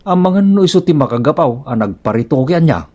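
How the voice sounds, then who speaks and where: A man's voice: fairly high pitch, big pitch swings, dark tone, slightly rough. A man playing a flustered, lovestruck married tricycle driver in an Ilocano-accented radio comedy, stammering and drawing out his exclamations. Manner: stammering, exclaiming, drawn-out words. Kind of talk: comedic radio drama acting.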